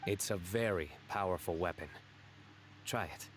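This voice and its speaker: An actor voicing a calm, earnest man in a video game, with a measured, kindly deadpan manner. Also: sits low, loud music behind the voice, natural voice.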